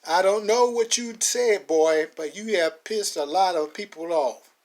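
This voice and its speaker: Menacing cop voiced by a man, slow threatening drawl, hostile, highish male voice. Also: darkish tone.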